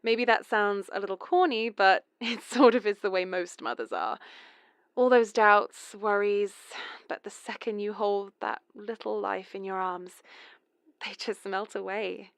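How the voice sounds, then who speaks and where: A woman's voice: mid-range voice, measured pace, gravelly, no room sound, clean studio sound. A woman playing a hesitant confessing mother. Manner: confessional, hesitant, nervous laughs. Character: mother confessing a family haunting. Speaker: caller. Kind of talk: horror radio drama monologue.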